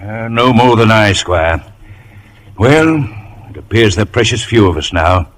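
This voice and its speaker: Firm ship captain giving orders, really wide swings in pitch, slightly noisy old radio. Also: male voice, expressive, unhurried. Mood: grave resolve. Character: resolute, authoritative ship's captain. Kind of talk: old radio drama acting.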